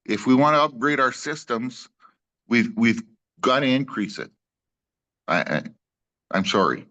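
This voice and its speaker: A man councillor speaks earnestly and slowly at a council meeting. He stops and restarts as he talks. His voice is of middle pitch. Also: very animated, pushed and bright.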